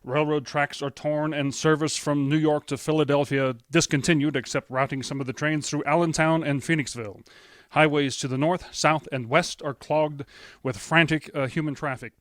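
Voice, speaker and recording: An actor playing a male studio announcer in a 1930s radio drama, grave and measured with small stumbles. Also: on the high side, no echo, close to an everyday voice.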